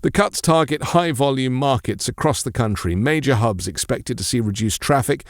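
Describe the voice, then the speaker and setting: A man reading scripted news as a presenter, with a formal, measured delivery. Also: medium pitch, no echo, clean studio sound.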